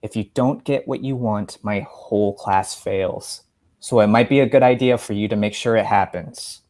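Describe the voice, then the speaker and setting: A man playing a gentle, earnest father who is a magic professor in a story reading, speaking in a measured, reassuring way. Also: lowish voice, natural voice. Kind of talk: story reading, dialogue part.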